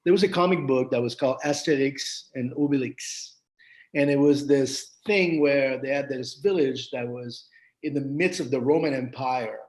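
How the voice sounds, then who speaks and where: Interview guest, French Canadian man, faint Quebec accent; animated storyteller with many restarts. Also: mid-range voice, normal speed, rough recording. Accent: slight Quebec French. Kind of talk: casual interview conversation.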